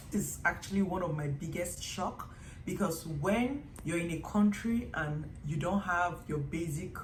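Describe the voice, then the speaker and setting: A young Nigerian woman talks straight to camera in a relaxed, chatty way, with a slightly low voice. She tells her stories with animation and slips into quick acted-out quotes. The room around her is echoey, with a faint hiss of noise behind. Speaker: vlogger. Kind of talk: casual vlog monologue to camera.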